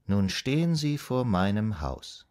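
An actor playing a calm, soothing psychoanalyst in a radio drama, guiding a patient in a measured, hypnotic, gently instructing way. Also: male voice, lowish voice. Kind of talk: German radio drama acting.

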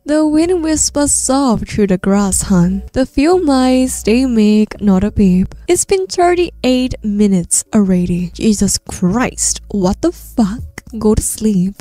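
A woman streamer reading a storybook aloud in a soothing bedtime manner, gently, sometimes dropping into a whisper, close to the microphone. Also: medium pitch, expressive, takes it slowly, few pauses, no echo.

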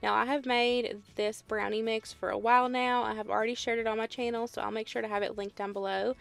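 A woman's voice: a little high, vocal fry. A woman in her thirties with a Southern US accent, casually explaining her home cooking in a voice-over. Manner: chatty, friendly how-to explaining with "y'all" and "um". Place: home kitchen.

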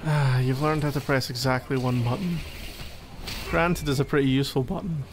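A man's voice: mid-range voice, steady tone, moderate pace, background noise, lightly acted. A man playing a needy, playfully exasperated gamer boyfriend in a casual, intimate ASMR roleplay, teasing as he talks. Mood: teasing, resigned. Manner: teasing, mock-exasperated, conversational.

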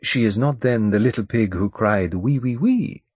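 A man voicing a courteous, methodical foreign detective in an audiobook, with polite, measured and formal phrasing. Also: lowish voice, slightly rough, no room sound, dull audio. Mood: polite, probing. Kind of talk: audiobook character dialogue.

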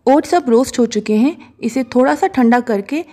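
A woman calmly narrating a cooking recipe step by step as a voice-over, slipping English words into her sentences. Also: fairly high pitch, normal speed. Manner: calm, instructive, mixes English words into Hindi. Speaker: cooking show host. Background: soft background music.

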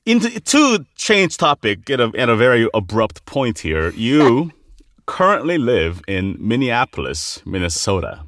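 A man speaking as a podcast host, conversational and deliberate, repeating phrases for emphasis. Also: mid-range voice, very animated, a bit bright, no echo, clean studio sound. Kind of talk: conversational interviewing.

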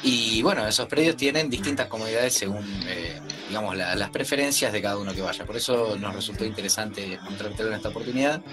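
A man's voice: fairly low pitch, fast talker, hardly any pauses. A man in his thirties with an Argentine accent, explaining things in casual chat on a video call. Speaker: guest camping video creator. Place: indoor room, video call. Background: music.

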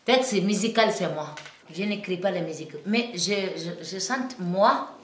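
A woman's voice: mid-range voice, animated, normal speed. Echoey Guinean woman, broken French. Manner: simple, broken French with frequent restarts, growing heated and emphatic. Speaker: singer and musician. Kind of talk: interview.

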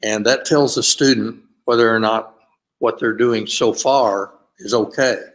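An older man speaking as a university administrator on a video call panel, explaining his office's review process in a steady way with frequent fillers. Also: medium pitch, measured pace, poor audio. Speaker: panelist, graduate school administrator. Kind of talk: conference panel talk over video call.

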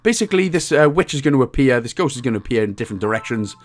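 A man's voice: medium pitch, animated, very fast. A man from Wales, hosting a casual video game walkthrough with jokey, crude humour. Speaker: host of game achievement guides.

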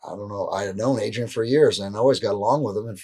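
A middle-aged man as an interview guest, telling stories in a rambling, animated way with frequent restarts, seated indoors. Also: fairly low pitch, fast talker, slightly rough. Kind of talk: casual storytelling in a shoot interview. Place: indoor room, seated on a leather couch.

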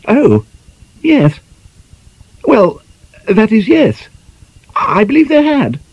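A man voicing a flustered, tactful middle-aged lady in an audiobook, halting and apologetic. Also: high voice, wildly swooping pitch, a little mellow, husky, dry recording, lo-fi sound, voice pushed up, full character voice. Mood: flustered agreement.

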